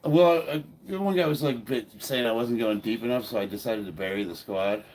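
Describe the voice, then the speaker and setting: A man's voice: mid-range voice, a little fast, a little mellow. A man in his fifties with a stuffy nose, chatting informally as he hosts a training livestream. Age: 50s. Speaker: powerlifter and livestream host. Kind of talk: casual livestream chat while training. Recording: noisy room, ambient background sound.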